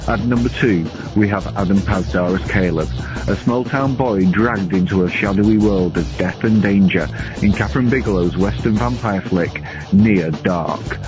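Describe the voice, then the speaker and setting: Guy narrating a movie top list, music underneath, bit of a muffled lofi sound. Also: low voice. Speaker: film reviewer, guest contributor. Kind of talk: scripted film countdown narration. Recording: dull lo-fi recording under music.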